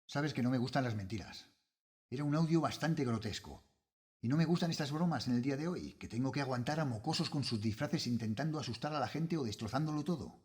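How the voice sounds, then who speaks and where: A man with an accent from Spain playing a worried middle-aged father, a night guard, narrating a horror audio drama in measured, brooding literary style. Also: medium pitch, animated, darkish tone, slightly rough. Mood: stern, annoyed. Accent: Spanish, from Spain.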